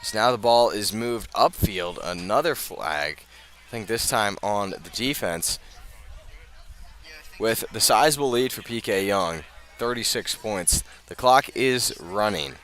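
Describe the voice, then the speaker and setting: An American man calling live high school football on the radio, measured between plays and emphatic on the big ones. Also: expressive, fairly bright tone. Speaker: play-by-play announcer.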